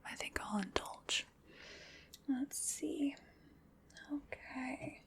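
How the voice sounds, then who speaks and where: A woman playing a playful, fussy vampire in a whispered ASMR roleplay, musing softly and coaxing with a mildly bossy touch. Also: high voice, drawn-out words, full character voice. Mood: musing, unhurried. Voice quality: soft breathy whisper. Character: playful, fussy female vampire seasoning her human snack.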